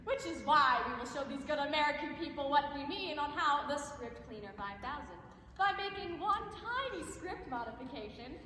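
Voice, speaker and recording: Actress as stage-parody infomercial saleswoman: very high, bright, gushing and patriotic, recorded in an echoey hall. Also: lively intonation, moderate pace. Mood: salesy, confiding. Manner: over-enthusiastic, emphatic, salesy. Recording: echoey hall, faint background noise.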